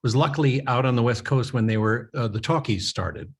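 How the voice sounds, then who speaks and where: A man's voice: medium pitch, lively intonation, a little fast, vocal fry, slightly rough. A man working as a Foley artist, explaining things informally as a panel guest.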